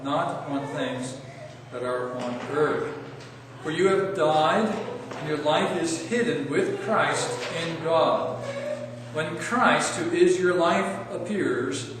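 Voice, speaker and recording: Slow-paced pastor in an echoing church. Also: male voice, fairly high pitch, a bit bright. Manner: deliberate, emphatic, declamatory phrasing when reading. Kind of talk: solemn public scripture reading in church. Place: large indoor church sanctuary. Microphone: distant microphone. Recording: echoey church hall from far off, faint noise.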